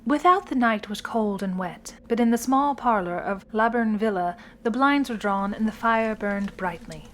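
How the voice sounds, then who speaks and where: A woman's voice: medium pitch, moderate pace, fairly bright tone. Woman narrator with faint background hiss. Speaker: podcast host and narrator. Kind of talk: storybook narration and hosting.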